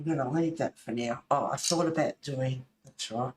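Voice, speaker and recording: A woman's voice: a bass voice, moderate pace, a little mellow. A woman hosting casually, musing aloud in short, loose phrases as she works on a hobby. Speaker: host of a colouring channel. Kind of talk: casual chat while colouring. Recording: distant mic, echoey room, faint noise.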